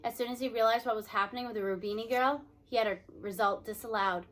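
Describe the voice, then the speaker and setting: A woman voicing a sorrowful, sympathetic female school principal in a storybook, grave and measured. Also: a little high, steady tone, a bit bright, close to an everyday voice, poor audio. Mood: grave, regretful.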